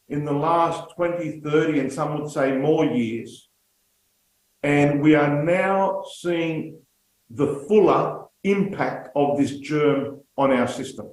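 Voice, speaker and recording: A man's voice: on the high side, echoey room, low-quality recording. A man from Australia with an Australian accent, a native Greek speaker, giving an earnest, somewhat pessimistic conference talk with frequent fillers. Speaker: union speaker.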